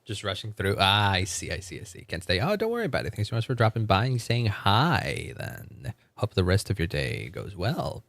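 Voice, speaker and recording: Male livestream host, lowish voice, very expressive intonation, casual running game commentary, dry close sound. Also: normal speed, hardly any pauses, no echo. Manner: chatty, reacts aloud, reads game text.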